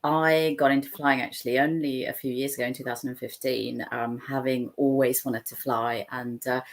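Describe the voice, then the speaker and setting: A woman's voice: very deep voice, flat delivery, moderate pace. A woman from Sri Lanka, chatting as a guest on a video call from a room at home, talking freely with many fillers and restarts. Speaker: guest, founder of an aviation charity. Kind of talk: casual video-call interview. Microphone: laptop webcam on a video call.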